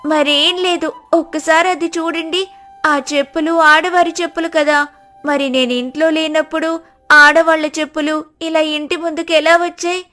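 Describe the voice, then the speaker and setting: A woman voicing a naive, melodramatic village wife who laments loudly in a children's moral story. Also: sits high, steady tone, moderate pace, soft background music, voice pushed up. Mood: aggrieved. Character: foolish wailing village wife, naive and dramatic.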